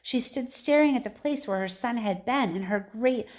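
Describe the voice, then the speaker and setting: A woman's voice: a little high, moderate pace, darkish tone. A woman reading a children's book aloud like a teacher, expressively, with casual chatty asides.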